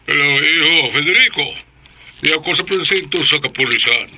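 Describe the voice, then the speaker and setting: A determined, upright father in a Cebuano radio drama. His voice is piercingly bright and slightly high, and he speaks with firm resolve. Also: lively intonation, fairly quick, slight background noise, full character voice. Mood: resolute. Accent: Cebuano Filipino. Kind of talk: Filipino radio drama acting.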